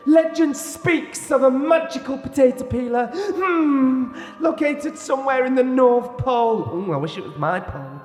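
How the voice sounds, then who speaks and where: A performer voicing a flamboyant male ghost of Christmas past in a comic play reading, booming and theatrical with drawn-out hums between lines. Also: animated, darkish tone, reverberant, long reverb tail, faint music behind. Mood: grandly mysterious.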